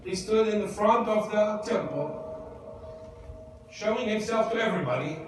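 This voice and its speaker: A man's voice: high voice, lively intonation, normal speed. A man pastor giving a conversational Bible lesson, asking the congregation questions. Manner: conversational, asks the room questions. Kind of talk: interactive Bible teaching. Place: church. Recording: echoey church hall, distant mic, noisy.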